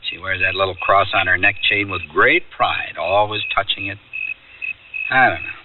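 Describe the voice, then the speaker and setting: A man's voice: sits low, moderate pace, distant mic, lightly acted. An actor playing a skeptical, gossipy male friend with a knowing, casual manner in a radio drama. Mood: skeptical, amused. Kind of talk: old-time radio drama acting. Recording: noisy, tinny old radio recording.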